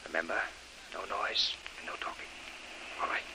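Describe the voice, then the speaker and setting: An actor playing a calm, capable adventurer giving hushed, deliberate instructions in an old radio adventure serial. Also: male voice, deep voice, close to an everyday voice. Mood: steady, cautious. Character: calm, capable adventurer leading a stealthy escape. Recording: noisy old radio recording.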